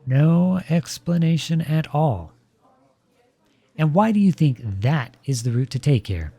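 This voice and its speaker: A man's voice: on the high side, animated, mellow, no room sound. Man acting a protective boyfriend, close dry sound. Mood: cool, probing. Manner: measured, formal, pointed phrasing. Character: protective boyfriend coolly confronting partner's ex, polite but cutting. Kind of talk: scripted audio roleplay monologue.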